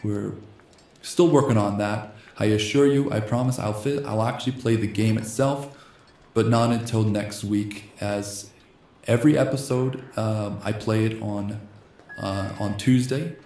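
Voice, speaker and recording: A slightly hoarse man hosting a gaming channel, reading a text adventure game aloud in a soft ASMR style and speaking the punctuation marks. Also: lowish voice, steady tone, measured pace, echoey room. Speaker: host.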